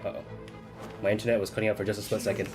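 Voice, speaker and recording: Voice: low-pitched, little pitch movement, rapid-fire. A game streamer giving casual live commentary, swearing freely and playfully, shifting between muttering and exclaiming. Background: game music.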